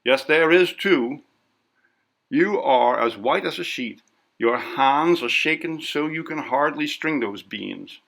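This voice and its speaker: Man voicing a bossy, scolding woman, pitched up. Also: animated, slow, gravelly, fairly bright tone, heavily acted. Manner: scolding, insistent. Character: brisk, bossy, suspicious New England sister. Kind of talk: audiobook character voice.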